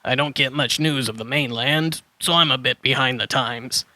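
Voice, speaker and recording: A man's voice: medium pitch, moderate pace, pushed and bright, no room sound. A man playing a crazy old hermit dinosaur trader in a children's audio drama, flustered and tangling his sentences. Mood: quirky, chatty. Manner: muddles and swaps word order, then corrects himself.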